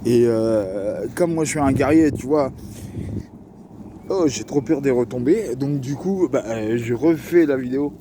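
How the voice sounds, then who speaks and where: A man's voice: mid-range voice, measured pace, background noise. A young man with a Parisian accent telling a personal story to camera, slangy and joking, with lots of fillers. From Paris region. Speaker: vlogger.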